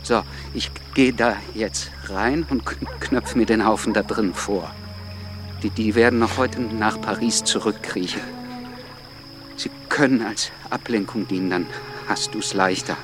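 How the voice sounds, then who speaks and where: Actor as a stammering, impulsive revolutionary. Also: male voice, lowish voice, unhurried, over music, close to an everyday voice. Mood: resolute. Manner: stammers on first sounds. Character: stammering, impulsive, defiant male revolutionary orator. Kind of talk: German radio drama acting.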